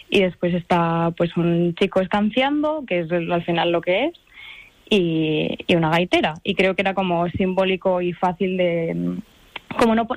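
A woman's voice: on the low side, no echo, low-quality recording. A woman in her twenties from Spain answering radio interview questions, chatty and hesitant, full of fillers and restarts. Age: 20s. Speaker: guest artist.

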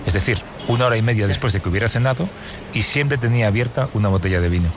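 A man hosting live radio, directing a street reporter in a brisk manner. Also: on the low side, noisy background. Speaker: radio host.

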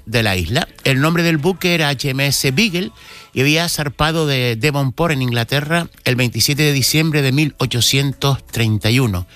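A man's voice: a little high, fairly bright tone. A man, a cultural commentator telling a local history story on a radio segment, with frequent restarts.

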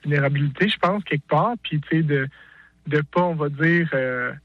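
A man's voice: a little high, normal speed, no room sound, highs cut off. A male singer-songwriter with a Québécois French accent, talking casually in an interview, hesitant with many restarts and fillers.

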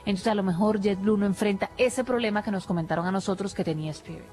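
A woman's voice: medium pitch, fast, a little mellow, soft background music. A woman with a Dominican Spanish accent, co-hosting a morning show in casual chat. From raised in the Dominican Republic. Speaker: co-host.

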